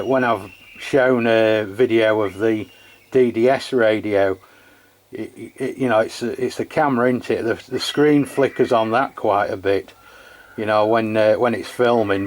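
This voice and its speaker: A man with an English accent and a fairly low voice talks in a casual, chatty way. He sounds like a radio hobbyist having an informal two-way conversation, plain and matter-of-fact. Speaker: CB radio hobbyist filming a radio test.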